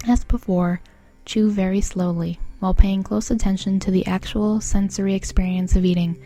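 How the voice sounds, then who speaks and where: Mellow-voiced woman guiding mindfulness. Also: medium pitch, steady tone, normal speed, no echo, soft background music. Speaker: mindfulness guide and interviewer. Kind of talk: guided mindful eating exercise, then soft interview.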